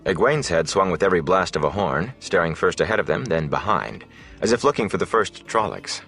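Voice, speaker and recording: A man with a deep voice narrates a fantasy novel. His delivery is scripted audiobook storytelling. Soft music plays faintly behind him. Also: very animated, brisk, gravelly.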